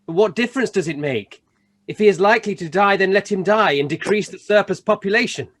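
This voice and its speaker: A man playing a stern, solemn Christmas spirit in an amateur radio play, speaking in a deliberate, formal way. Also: sits high. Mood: stern rebuke. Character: solemn, rebuking male Spirit of Christmas Present.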